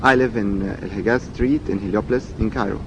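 A man in his twenties from Egypt with an Egyptian accent and Arabic as his first language, reading a set passage and then talking about himself with many fillers and repeated words. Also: fast talker. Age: 20s. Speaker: dialect archive speaker. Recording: dull recording with loud background rumble.

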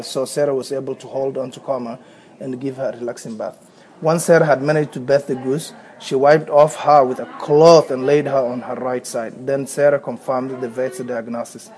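Somali-accented man, hesitant and mellow. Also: mid-range voice, rough recording. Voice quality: hesitant, with frequent restarts and repeated words. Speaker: accent archive speaker. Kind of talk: reading a passage aloud, then unscripted talk.

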